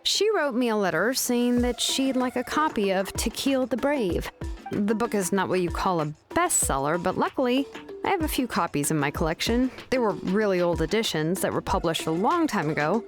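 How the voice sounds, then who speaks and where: A woman voicing an easygoing young blacksmith in a video game, casual and unhurried in manner. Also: medium pitch, animated, soft background music, natural voice. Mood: relaxed.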